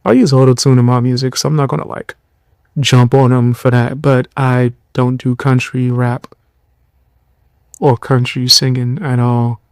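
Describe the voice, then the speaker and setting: A tired young man hosting a podcast, giving blunt, mocking commentary in loose slang with a low voice. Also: flat delivery, measured pace, a little mellow, no room sound, studio quality. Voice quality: lower voice. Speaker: podcast host. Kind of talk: casual commentary.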